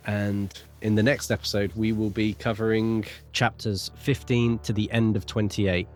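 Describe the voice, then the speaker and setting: A man co-hosting a podcast, talking casually about a book in measured, hedging phrases. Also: fairly low pitch, flat delivery, soft background music. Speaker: podcast co-host.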